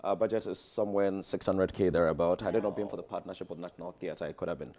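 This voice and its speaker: A man's voice: deep voice, steady tone, fast, warm tone. A man with a Ghanaian accent talking as a theatre director guest in an interview, speaking emphatically and repeating phrases for effect. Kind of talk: animated promotional interview chat.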